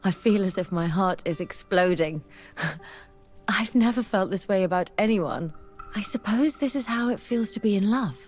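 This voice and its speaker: An actress playing an earnest, bookish young female scholar in a video game, speaking in a measured, articulate way. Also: medium pitch, darkish tone, close to an everyday voice. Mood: loving. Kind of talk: video game dialogue acting. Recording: music behind the voice.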